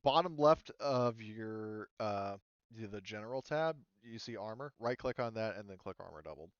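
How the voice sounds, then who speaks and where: A man's voice: fairly low pitch, lively intonation, moderate pace, clean tone, dry recording, muffled recording. A man acting as game master, casually and informally moderating an online tabletop game. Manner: informal, swears.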